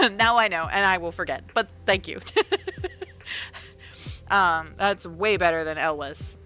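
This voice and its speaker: A woman's voice: lowish voice, slow, bright, forceful tone, faint music behind. A woman chatting casually on a livestream, rambling along with lots of fillers and correcting herself as she goes. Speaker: author and livestreamer.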